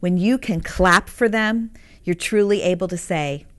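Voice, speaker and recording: A woman author calmly teaching parents in a scripted, earnest and encouraging narration. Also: fairly low pitch, unhurried. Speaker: author and curriculum host.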